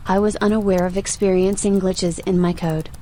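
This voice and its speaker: A woman plays a female archive AI in an audio drama, composed and inquisitive. She speaks formally and matter-of-factly at a medium female pitch, with a dry attitude. Some noise runs behind the voice. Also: fairly even intonation, warm tone, natural voice. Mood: calm, curious. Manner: formal, measured, matter-of-fact. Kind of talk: audio roleplay acting.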